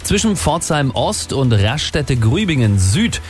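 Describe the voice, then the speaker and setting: A man's voice: mid-range voice, very animated, unhurried, faint music behind. Playful male morning radio host. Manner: playful, joking. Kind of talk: casual radio chat, traffic.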